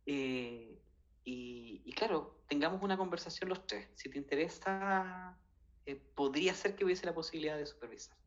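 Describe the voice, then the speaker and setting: A man's voice: fairly high pitch, moderate pace, lo-fi sound. A man in his thirties from Chile with a Chilean Spanish accent, telling a story in casual conversation with many fillers and restarts. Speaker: guest.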